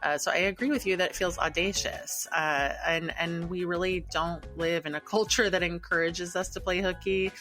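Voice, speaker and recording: Woman podcast co-host, very low voice, relaxed and chatty, faint music behind. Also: normal speed, hardly any pauses. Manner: friendly, easygoing, hesitant fillers. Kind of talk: casual podcast chat.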